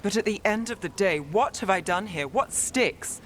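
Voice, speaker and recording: Wry, guarded young female detective, played by an actress, fantasy game, mid-pitched, understated delivery over rain and street ambience. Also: lively intonation, normal speed, slight background noise, close to an everyday voice. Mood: weary, troubled. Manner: dry, understated wit. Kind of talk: video game voice acting.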